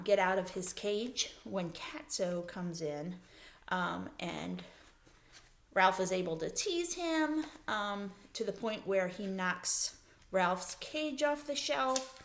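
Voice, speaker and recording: A woman's voice: mid-range voice, vocal fry, fairly bright tone. A woman schoolteacher leading a read-aloud lesson for children, explaining things simply and putting questions to her listeners. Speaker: elementary school teacher.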